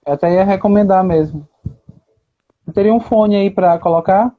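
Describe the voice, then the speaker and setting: A man's voice: high voice, normal speed. A man hosting an online live, casually coordinating the guests. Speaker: live stream host. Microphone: video call microphone. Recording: muffled live stream audio.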